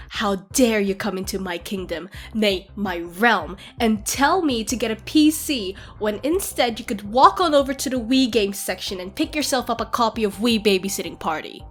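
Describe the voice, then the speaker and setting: Woman acting as excitable nerdy gamer girlfriend, music behind. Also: mid-range voice, moderate pace, husky. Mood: mock outrage. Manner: giggly, playfully ranting, animated casual talk. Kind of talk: audio roleplay, casual one-sided chat to a partner. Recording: background music under the voice. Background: game-like ambient music.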